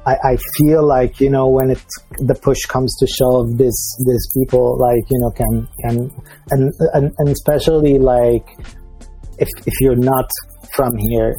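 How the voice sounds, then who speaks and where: Syrian guy chatting, halting and restarting a lot, dark mellow husky tone, faint music behind. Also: mid-range voice, poor audio. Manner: halting, many restarts and fillers. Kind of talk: casual conversation.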